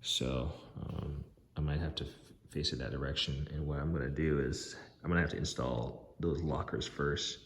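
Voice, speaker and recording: Very deep-voiced man in an echoey garage. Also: steady tone, a little hiss or hum. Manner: halting, lots of ums and restarts. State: hoarse, losing his voice. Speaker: DIY garage vlogger. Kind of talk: casual rambling walkthrough. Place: home garage. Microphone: handheld phone camera.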